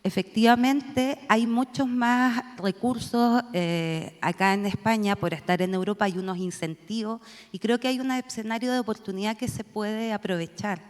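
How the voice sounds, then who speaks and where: A woman's voice: medium pitch, moderate pace. A Chilean woman speaking as a panelist and policy analyst, offering thoughtful, searching remarks with frequent filler sounds. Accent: Chilean Spanish. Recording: long reverb from a hall.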